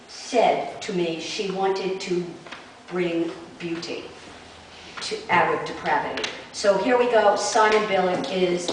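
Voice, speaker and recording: A woman introducing a reading to an audience as event host, deliberate and emphatic. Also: lowish voice. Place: arts centre hall before an audience. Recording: echoey hall from far off, noisy.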